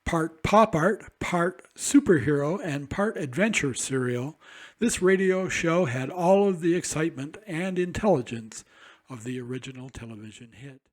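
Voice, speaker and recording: A man's voice: fairly high pitch, expressive, normal speed. A man presenting a scripted introduction to an old radio show.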